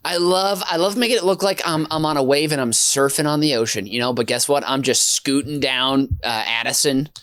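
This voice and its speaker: A man's voice: mid-range voice, a little fast, few pauses, a bit bright, no room sound. A man hosting a comedy podcast, asking questions with playful, mock-earnest teasing. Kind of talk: comedic casual chat.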